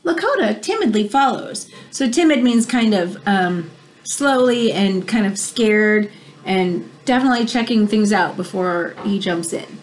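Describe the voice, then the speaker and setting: A woman in her thirties reads a picture book aloud to children in an expressive, teacherly way, pausing to explain things. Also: on the high side, poor audio. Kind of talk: read-aloud storytelling with explanatory asides for children. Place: small room indoors at home. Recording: home recording with background noise.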